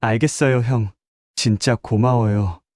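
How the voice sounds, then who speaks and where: A man's voice: medium pitch, steady tone, measured pace, darkish tone, dry recording, studio quality, pitched above the performer's own voice, close to an everyday voice. A male narrator voicing a young male intern who dodges blame with excuses. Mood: relieved. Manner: evasive, making excuses.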